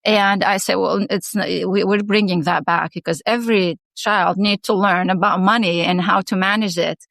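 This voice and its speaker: A woman's voice: mid-range voice, steady tone, normal speed, vocal fry, no room sound. A middle-aged woman from Syria talks as an interview guest, thinking aloud with frequent restarts and small laughs. The sound is dry and close, with no room around her. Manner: warm, reflective, many restarts and fillers, laughs often. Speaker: guest, education founder and former principal. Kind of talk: casual interview conversation.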